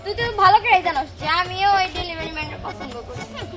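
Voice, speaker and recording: Woman acting squeaky, scornful young woman. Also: racing. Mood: teasing. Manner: taunting, dismissive, challenging. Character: sassy, scornful young woman teasing a suitor. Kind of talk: comic fairy-tale drama acting. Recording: distant mic under loud music.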